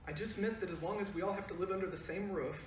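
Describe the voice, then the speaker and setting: An actor reading a gentle, tentative father trying to smooth things over, in a play reading over a video call. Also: medium pitch, fast, roomy sound, recorded from a distance, a little hiss or hum, natural voice. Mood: placating. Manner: soothing, tentative.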